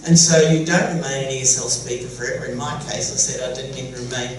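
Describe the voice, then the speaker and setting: A woman's voice: extremely low pitch, quick pace, recorded from a distance. Middle-aged woman in a very echoey hall. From Sicily, Italy. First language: Sicilian. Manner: chatty, wry, laughs at her own jokes. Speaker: educator giving a symposium talk. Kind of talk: conference presentation. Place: conference room. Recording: very echoey hall, voice from afar, faint hum.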